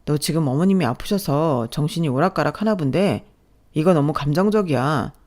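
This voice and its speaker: A tired young husband, voiced by a woman reading a story aloud, caught between wife and mother. He speaks a bit lower and softer, defensive and soothing. He never raises his voice much. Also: expressive, fairly quick. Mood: placating. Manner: defensive, placating and low-key. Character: evasive, weary young husband caught in the middle. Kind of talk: storytelling with acted dialogue.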